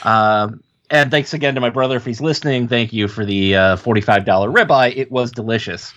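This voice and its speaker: A man's voice: mid-range voice, a little fast, a bit bright. A man with an Ohio American accent co-hosting a podcast in casual, easygoing chat. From Ohio, United States. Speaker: podcast co-host.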